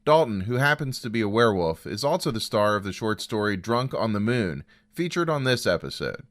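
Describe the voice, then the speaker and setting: A man's voice: fairly low pitch, sing-song, fairly quick, fairly bright tone. A man hosting a story program, reading a scripted introduction. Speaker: host introducing stories.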